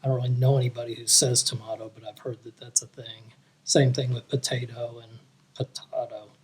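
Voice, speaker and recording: A man from Texas, talking easily and hesitantly with many pauses and filler words. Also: medium pitch, flat delivery, poor audio. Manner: easygoing, hesitant, many uhs. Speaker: guest sending a clip. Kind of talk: casual remarks to viewers.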